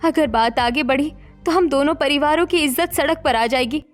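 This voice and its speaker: High-pitched, dramatic young married woman, voiced by an actress. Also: normal speed, faint music behind, close to an everyday voice. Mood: pleading, firm. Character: distressed young married woman, protective, reproachful daughter-in-law. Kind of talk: Hindi animated family melodrama voice acting.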